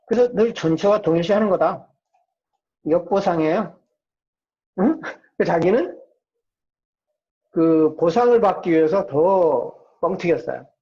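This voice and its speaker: Muffled, mellow-voiced man giving a lecture. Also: high voice, expressive, unhurried, pauses often. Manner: asks and answers own rhetorical questions. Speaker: psychology professor lecturing. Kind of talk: informal explanatory lecture. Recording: dull, muffled lo-fi lecture recording.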